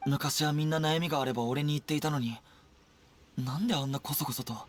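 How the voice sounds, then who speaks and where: An actor playing a young army general in an anime game, earnest, concerned and commanding, with a husky voice. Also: male voice, fairly high pitch, a little hiss or hum, natural voice. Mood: troubled. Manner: brisk, commanding, serious. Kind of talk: Japanese anime game voice acting.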